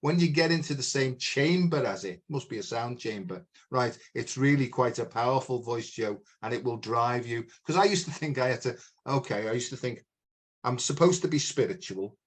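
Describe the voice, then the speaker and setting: A man with a big, booming, loud voice, speaking at an ordinary middle pitch. He talks frankly and emphatically in a casual spiritual conversation, often adding "you know" as he goes. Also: normal speed. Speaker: podcast host.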